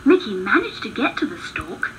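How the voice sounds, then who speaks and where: A woman narrating a children's read-along storybook with deliberate, expressive phrasing. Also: sits high, vocal fry, noisy background.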